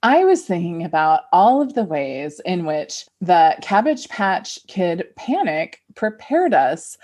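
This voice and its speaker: A woman's voice: fairly low pitch, big pitch swings, slow, a little mellow. A middle-aged American woman co-hosting a podcast, chatting casually and playfully, with plenty of "like" in her speech. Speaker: podcast co-host. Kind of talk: casual, reactive chat.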